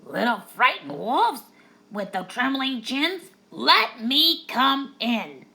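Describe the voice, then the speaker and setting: A woman doing a big bad pig, loud and slow. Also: sits high, very animated, gravelly, a little hiss or hum, voice pushed up, heavily acted. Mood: menacing. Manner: loud, drawn-out threats. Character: big bad male pig, menacing bully.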